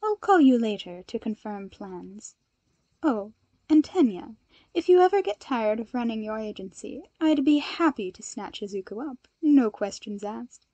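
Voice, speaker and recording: A woman's voice: a little high, animated, a little slow, mellow, no room sound, highs cut off. A woman voicing a poised, friendly and serene young woman hero, polite and composed.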